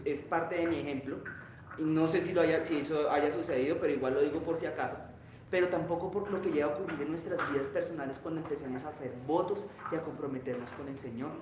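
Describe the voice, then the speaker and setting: A man's voice: a little high, lo-fi sound, slight background noise. A man preaching a sermon, then leading the church in emphatic, fervent prayer. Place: church hall. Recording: very echoey church hall with guitar.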